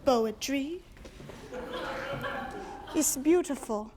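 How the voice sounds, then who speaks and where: Woman playing high, unhurried, earnest wartime heroine. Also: animated, mellow, a little hiss or hum, full character voice. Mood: tender, sorrowful. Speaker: stage musical performer. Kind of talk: theatrical acting.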